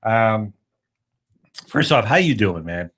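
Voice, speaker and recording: A man with a lowish voice hosts a relaxed sports talk show. He sounds upbeat, laughs often and circles back on his own words as he chats with callers and co-hosts. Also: slow. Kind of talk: casual sports radio chat.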